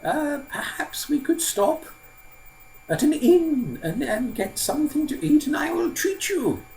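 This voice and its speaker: A man voicing a kindly old fairy-tale man who talks haltingly, drawing out and repeating words. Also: very high voice, very animated, darkish tone, a little hiss or hum, voice pushed up, full character voice, rough recording. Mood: gently helpful.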